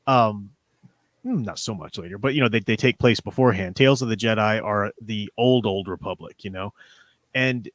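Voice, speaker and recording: A man's voice: on the low side, moderate pace, no room sound. A man co-hosting a casual livestream discussion, explaining things in a rambling, conversational way. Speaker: livestream co-host. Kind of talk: casual book and comics discussion.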